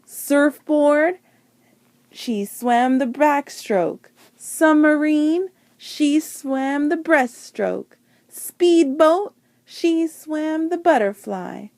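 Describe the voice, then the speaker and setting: Expressive, high-pitched woman reading a storybook. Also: dry recording. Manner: deliberate, dramatic phrasing with emphasised words. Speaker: teacher reading a picture book aloud. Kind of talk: expressive children's storybook read-aloud.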